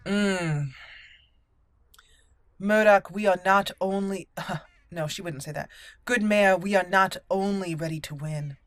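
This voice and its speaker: A woman's voice: medium pitch, takes it slowly, long pauses, no room sound. A woman hosting a let's play, playfully moving between in-character lines and casual commentary. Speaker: gaming channel host. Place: indoors, game audio behind.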